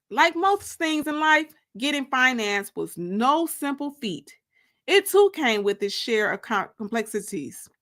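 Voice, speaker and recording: A woman reading a first-person story as dramatic audiobook narration, expressive and deliberate, drawing out the ends of phrases. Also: sits high. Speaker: author reading her book.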